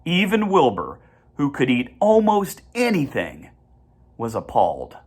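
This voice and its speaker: A reader narrating a children's storybook in an expressive manner. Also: medium pitch, a little slow, slightly rough. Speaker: audiobook reader.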